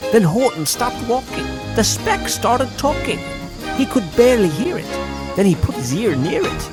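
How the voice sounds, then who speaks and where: A woman's voice: a little high, very animated, moderate pace. A woman narrating a rhyming children's story with dramatic storytelling delivery. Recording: music behind the voice.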